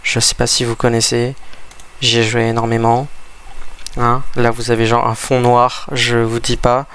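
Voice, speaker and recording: A man's voice: mid-range voice, completely flat delivery, a little slow, a bit bright, smooth. A man with a cold and a stuffy voice, giving rambling, hesitant casual commentary over a game he is playing, often restarting his sentences. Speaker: gaming video creator.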